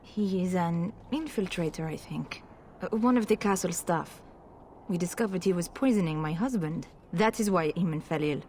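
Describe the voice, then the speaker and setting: A woman's voice: on the low side, moderate pace, darkish tone, a little hiss or hum, lightly acted. An actress playing a distraught, proud noblewoman in a video game, formal and pleading. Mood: troubled, guarded. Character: distraught proud noblewoman, desperate mother and wife. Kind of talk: dramatic video game dialogue.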